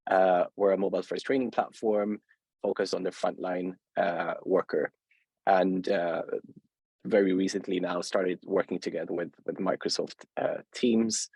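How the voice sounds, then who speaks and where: A man's voice: low voice, flat delivery, moderate pace, darkish tone, slightly rough, no room sound. A man with a Swedish accent hosting a webinar interview, speaking hesitantly with many fillers and restarts. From Sweden. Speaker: host, company founder.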